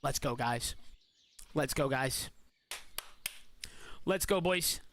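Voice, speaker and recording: A man with a hoarse, weakened voice, hosting and commentating a game tournament live on stream in excitable, slang-filled bursts. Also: mid-range voice, very slow, a little hiss or hum. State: hoarse, voice feels weak.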